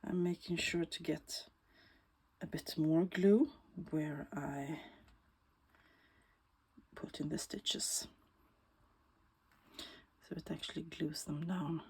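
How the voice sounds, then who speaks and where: A woman with a Swedish accent, Swedish native, talking through a bookbinding tutorial in a slow, thoughtful way that often drops to a soft whisper while she works. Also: low-pitched, steady tone, husky. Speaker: bookbinding crafter. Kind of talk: casual craft tutorial.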